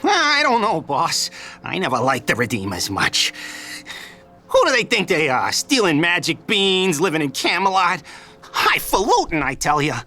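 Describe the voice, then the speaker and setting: A man's voice: on the high side, huge pitch swings, measured pace, sharp tone, faint music behind, exaggerated voice. An actor playing a brash, scornful but loyal mob boss in an audio drama, with colloquial tough-guy talk. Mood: grumbling scorn. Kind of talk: audio drama table read acting.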